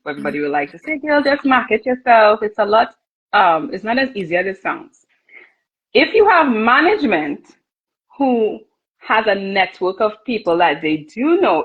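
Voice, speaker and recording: Give me a husky woman guest speaking steadily over dull audio. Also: mid-range voice. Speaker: soca artist guest. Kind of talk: casual interview chat.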